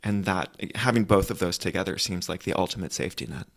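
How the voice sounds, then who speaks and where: A man's voice: deep voice, fast. A man hosting a conversational interview, thoughtfully feeling his way through each idea as he speaks. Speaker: interviewer, host.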